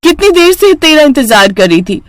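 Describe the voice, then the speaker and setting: A woman's voice: sits high, sing-song, moderate pace, no echo, voice pushed up. A woman voicing a haughty, boastful rich elder sister who bosses people around in a storybook tale. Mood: bossy. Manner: boastful, ordering, dismissive.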